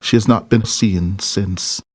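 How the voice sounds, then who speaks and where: A man's voice: fairly low pitch, steady tone, takes it slowly, very noisy. A man reading scripted narration for a television news documentary. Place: close-miked voice-over with music behind.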